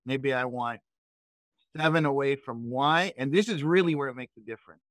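A middle-aged man leading a live software training session in a conversational, explanatory way, often posing questions and answering them. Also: medium pitch, normal speed, dry recording. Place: indoors, on a video call.

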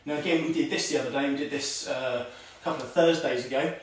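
A karate instructor talks through his moves at home, higher voice, with lots of "okay"s as he explains. The voice comes from a camera set well back across a bare room, so it rings with echo under a faint hiss. He sounds like a man in his forties. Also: quick pace. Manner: talks while demonstrating moves, calls out commands; many "okay"s and fillers, relaxed teaching tone. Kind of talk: online martial arts lesson. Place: home room with tiled floor. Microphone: far camera on a stand. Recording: echoey room, voice from far off.

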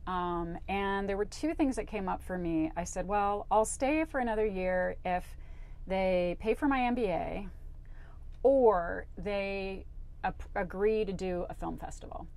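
A woman's voice: mid-range voice, a little slow. A woman in her forties who grew up in Hawaii, telling stories as an interview guest on a flowery studio set, with a lapel mic. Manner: chatty, animated storyteller acting out quotes. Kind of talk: casual interview storytelling.

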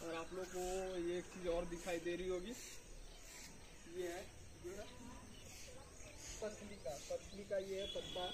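A man's voice: sits high, level tone, measured pace, long pauses, background noise. Young man touring bare echoey rooms. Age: young adult. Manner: chatty, walks while talking to a filming sister. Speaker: vlogger giving a house tour. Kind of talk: casual home tour vlog. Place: small concrete house, bare rooms and rooftop. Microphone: camera held by someone else, a few steps away. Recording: echoey bare rooms, distant camera mic.

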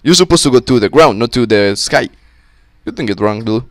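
A young man with a slightly sick voice, giving casual, excitable commentary on a co-op game with friends on a close mic. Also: mid-range voice, a little fast, dry recording. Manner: excitable, swearing, joking with friends. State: sick, voice a little off. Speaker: gameplay commentator. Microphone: close-up desk mic.

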